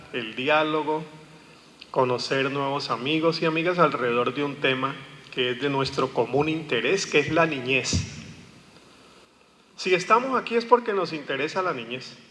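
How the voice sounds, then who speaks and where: A man's voice: a little high, sharp tone, a little hiss or hum. A man from Colombia with a Colombian Spanish accent, giving a public lecture as a pastor and theologian, often asking the audience questions. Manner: engaging, asks the audience questions. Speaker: guest speaker, pastor and theologian. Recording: long hall reverb.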